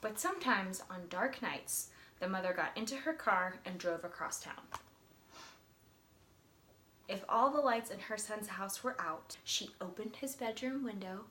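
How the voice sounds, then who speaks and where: A woman reading a picture book aloud with expressive storytelling. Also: mid-range voice, measured pace. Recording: roomy, echoey sound with faint noise.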